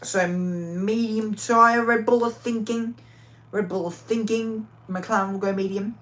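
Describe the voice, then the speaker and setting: A woman commentating a racing game live, excitable, often repeating and restarting phrases, her voice worn out. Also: medium pitch, measured pace.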